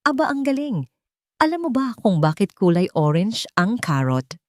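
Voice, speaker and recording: A woman voicing a gentle, respectful young housemaid in her twenties, calm but firm, in a dramatic story. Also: mid-range voice, normal speed, no echo, clean studio sound, pitched above the performer's own voice, natural voice. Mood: playful, teaching.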